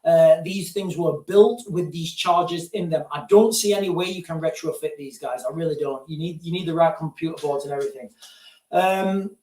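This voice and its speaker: Man, high-pitched, casual rambling livestream talk, echoey room, distant mic. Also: normal speed, darkish tone. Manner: digressive, keeps addressing viewers as guys. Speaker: live-stream host answering viewer questions. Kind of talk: casual rambling live-stream chat. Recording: roomy sound, distant from mic.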